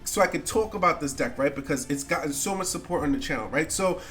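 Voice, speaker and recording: A man's voice: fairly high pitch, fast talker, a bit bright, faint music behind. A young man with a congested, nasal voice from a cold, chatting loosely and slangily about card game decks as a channel host, often tagging "right?" onto his sentences. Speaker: gaming YouTuber.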